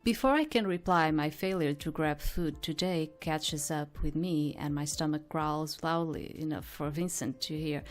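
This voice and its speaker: A woman with reading a visual novel heroine's narration in a plain, measured way. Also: low voice, faint music behind, close to an everyday voice. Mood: neutral narration.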